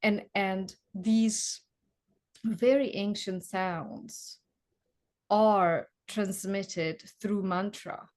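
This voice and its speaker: A woman whose first language is Hungarian, interviewing a guest in a thoughtful, unhurried way. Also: mid-range voice, steady tone. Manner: unhurried, thoughtful, soft backchannels. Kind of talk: interview questions.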